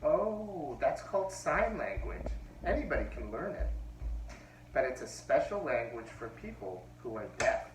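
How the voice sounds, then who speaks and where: A man's voice: mid-range voice, very animated, a little slow. A man reads the lines of a patient mother explaining the world to her young boys in a storybook. The voice rises and falls a lot and sounds far off in an echoey room. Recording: roomy echo, far from the mic, faint hum.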